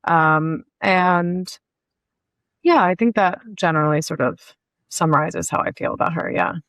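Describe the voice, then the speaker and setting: Actress lady in an interview, lowish voice, dry sound, bit hesitant. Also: a little slow, fairly bright tone, dry recording. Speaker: actress being interviewed about her role. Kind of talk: conversational interview answers.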